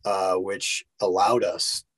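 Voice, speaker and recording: A man's voice: sits low, level tone, slow, no echo. Middle-aged man, dry close recording. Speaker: podcast guest, actor and director. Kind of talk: casual interview, explaining.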